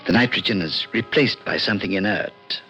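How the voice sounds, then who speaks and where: An actor playing a friendly, polite and reassuring alien creature in an old radio drama. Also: male voice, lowish voice, brisk, sharp tone. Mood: calm, explaining. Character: friendly, calm extraterrestrial in fuzzy orange spacesuit. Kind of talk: 1950s radio drama acting. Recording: old radio recording, long reverb, music.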